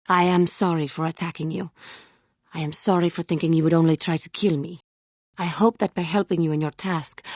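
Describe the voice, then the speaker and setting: A woman playing a proud, tormented fallen warrior seeking redemption in a video game, speaking in formal, solemn and deliberate phrases. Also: deep voice, a little mellow, dry recording, clean studio sound. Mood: contrite. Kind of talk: dramatic video game character dialogue.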